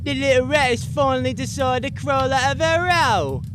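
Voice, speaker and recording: A man's voice: extremely high pitch, normal speed, noisy background. A man playing a mocking, menacing nutcracker captain in an audio drama, theatrical and commanding. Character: sneering, menacing male captain of toy nutcracker soldiers. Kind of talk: dramatic audio roleplay villain acting. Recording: music behind the voice.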